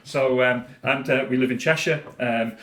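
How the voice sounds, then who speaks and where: A man's voice: medium pitch, fairly even intonation, normal speed, a bit bright, echoey room. A man with a Liverpool accent, a podcast guest in casual chat, often repeating words as he starts a thought.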